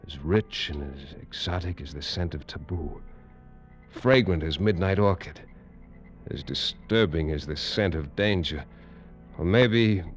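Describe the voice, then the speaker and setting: An actor playing a tough, wry American lawman in a 1940s radio drama, narrating in terse, measured hardboiled style. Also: low voice. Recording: dull, noisy vintage radio recording.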